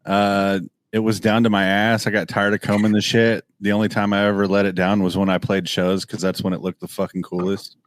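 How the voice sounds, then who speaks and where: A man's voice: lowish voice, flat delivery, fairly quick, few pauses, slight background noise. A man guesting on a podcast as a band guitarist, chatting animatedly, laughing and swearing casually. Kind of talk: casual chat.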